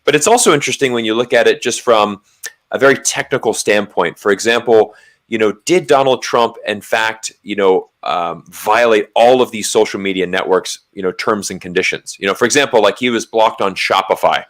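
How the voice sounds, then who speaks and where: A man's voice: fairly low pitch, brisk, a bit bright. A man from Florida with an American accent, chatting casually as a livestream co-host, emphatic and repeating words for stress. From Florida, United States. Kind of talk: casual livestream political chat.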